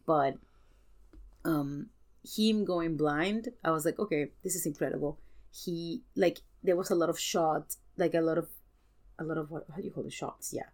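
A woman in a casual film discussion, speaking earnestly and emphatically and pausing to search for words. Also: low voice, darkish tone. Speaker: podcast guest.

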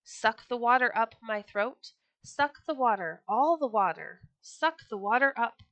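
A woman voicing a plucky, stubborn little male rooster with shrill crowing cries. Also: expressive, a little slow, a bit bright. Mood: chanting a charm.

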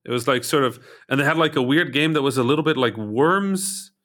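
A man's voice: mid-range voice, brisk, a bit bright. A man from Egypt hosting a casual interview chat, often hesitating with ums and restarts. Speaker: podcast host.

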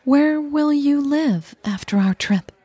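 A woman who is a native Dutch speaker, voicing a shy but playful, proud girlfriend in an audio story, softly earnest. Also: sits high, animated, takes it slowly, no echo, pitched above the performer's own voice. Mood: playful, curious.

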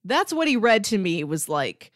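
A woman talking casually as a podcast host, animated and peppering her sentences with "like". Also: mid-range voice, normal speed, no room sound. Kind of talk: casual chat about comics.

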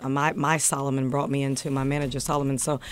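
Deep-voiced woman guest on radio. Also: flat delivery, quick pace, clear, resonant tone. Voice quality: deep, rich voice. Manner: laid-back, with frequent affirmations. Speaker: guest singer. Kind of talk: radio interview. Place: radio studio.

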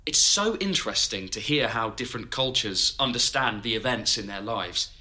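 An inquisitive interviewer in an audio drama, a little awkward and hesitant. His voice is bright and very animated in pitch, as he puts curious questions to his guests. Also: moderate pace. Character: curious, slightly awkward male oral history interviewer.

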